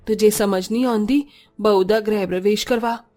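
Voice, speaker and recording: A woman's voice: mid-range voice, fairly even intonation, normal speed, dark tone, faint music behind, close to an everyday voice. A woman voicing an older, bossy Punjabi mother-in-law with a commanding, no-nonsense manner. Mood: scolding.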